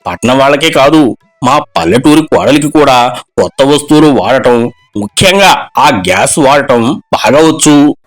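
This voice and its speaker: A man's voice: medium pitch, lively intonation, moderate pace, faint music behind, close to an everyday voice. A man voicing a proud village father-in-law. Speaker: voice actor. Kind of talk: animated storybook dubbing.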